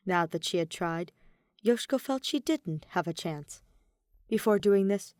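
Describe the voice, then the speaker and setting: A woman's voice: medium pitch, darkish tone, dry recording, clean studio sound. A woman reading a scripted fan story aloud as its narrator. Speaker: audio fan fiction narrator.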